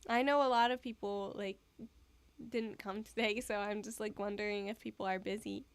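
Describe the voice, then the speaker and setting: A woman's voice: mid-range voice, fairly even intonation, moderate pace, smooth. A woman live streamer chatting casually with her viewers, giggly and rambling. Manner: giggly, rambling, soft-spoken.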